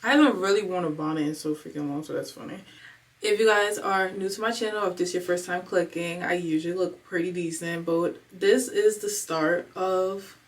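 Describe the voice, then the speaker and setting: A woman's voice: fairly low pitch, moderate pace, distant mic. Candid young woman vlogging, a bit off-mic. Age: 20s. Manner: candid, rambling, slangy, goes on tangents. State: emotional, fighting back tears. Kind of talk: casual confessional vlog talk. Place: home.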